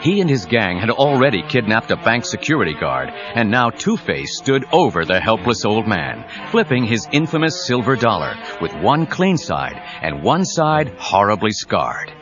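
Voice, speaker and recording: An actor narrating a superhero audio drama as a suspenseful storyteller. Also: male voice, lowish voice, big pitch swings, normal speed, few pauses. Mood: dramatic, suspenseful. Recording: dull 1990s cassette, music under voice.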